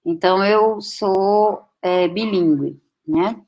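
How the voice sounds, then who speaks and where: Woman, late fifties, German first language, unhurried, reflective, many pauses. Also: mid-range voice, darkish tone, poor audio. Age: 50s. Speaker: guest speaker. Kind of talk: personal talk to an audience.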